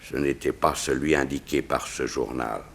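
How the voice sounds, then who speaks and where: Solemn diplomat, extremely deep pitch, dignified, deliberate, 1960s radio mystery style. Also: male voice, steady tone, vocal fry, fairly bright tone, full character voice. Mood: solemn, confiding. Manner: formal, measured, deliberate. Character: grave, dignified Siamese diplomat confiding state secrets. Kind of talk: 1960s French radio mystery serial acting.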